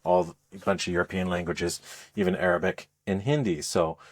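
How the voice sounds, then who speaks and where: A man speaking as a podcast co-host, explaining things in a casual, halting, conversational review style. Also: low-pitched, fairly even intonation. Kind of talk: casual game review chat.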